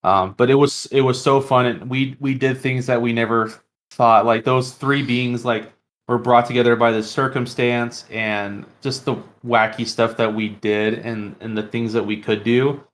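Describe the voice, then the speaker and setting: A man's voice: medium pitch, steady tone, moderate pace, fairly bright tone. A man hosting a podcast, chatting casually and enthusiastically about tabletop games. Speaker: podcast host.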